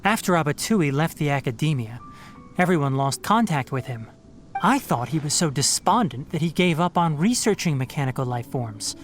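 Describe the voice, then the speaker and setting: A man playing a thoughtful, calm young male investigator in an anime game, measured and pondering. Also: on the high side, lightly acted. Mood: pensive. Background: game music.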